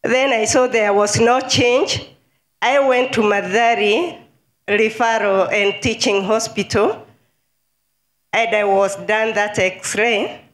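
Kenyan woman, Kikuyu speaker, slow earnest church testimony. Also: on the high side, fairly even intonation, reverberant. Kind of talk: healing testimony.

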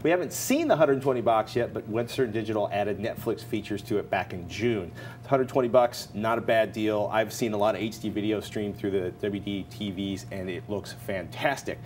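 A man's voice: fairly low pitch, moderate pace, darkish tone, slight background noise. An American man in his forties co-hosting a tech show, talking casually in a studio. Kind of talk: casual tech commentary and sponsor reads. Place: indoor TV studio.

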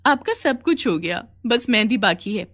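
A woman's voice: on the high side, big pitch swings, normal speed, a bit bright. A woman voicing a pushy, jealous beauty parlour owner in a cartoon story.

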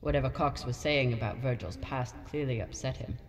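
A woman's voice: very deep voice, a little fast, in a large hall. A woman playing a guarded, hard-edged spaceship captain in a video game, with terse, probing delivery. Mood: reflective. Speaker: game protagonist.

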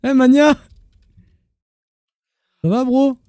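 A man streaming a game, bantering quickly and excitably with his friends in casual chat. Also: extremely high pitch, clean tone, no echo, studio quality. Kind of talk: casual gaming chat over voice chat.

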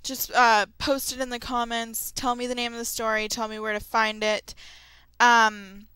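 A woman hosting her channel, chatting casually and excitedly to her viewers in an informal audio update. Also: a little high, moderate pace, smooth, no echo, low-quality recording. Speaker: creepypasta narrator and channel host.

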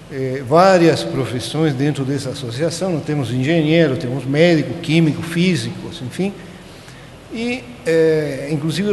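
Older guy w chilean accent giving a halting talk at a podium, big echoey hall, noisy background. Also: a little high, darkish tone. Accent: Chilean Spanish. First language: Spanish. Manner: halting, checks in with tag questions. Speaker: conference speaker. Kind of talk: informal technical lecture. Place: large conference auditorium. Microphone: podium gooseneck microphone. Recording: echoey auditorium with clear background noise.

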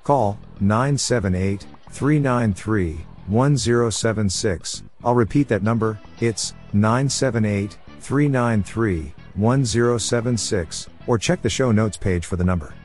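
Low-voiced man reading podcast promos. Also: a little mellow, soft background music. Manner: clear, deliberate read, slowing right down for phone numbers. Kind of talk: scripted promo read.

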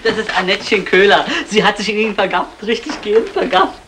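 An actor playing a stingy, nagging small-time crook, petty and aggrieved, in a 1960s German crime radio play. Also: male voice, high voice, lively intonation, brisk. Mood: eager chatter. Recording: noisy vintage 1960 radio recording.

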